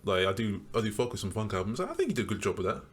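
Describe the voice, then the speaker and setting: A young man co-hosting a casual podcast, excitable and loud in his reactions, swearing often. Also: on the low side, rapid-fire, husky. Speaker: podcast co-host. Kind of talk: casual chat.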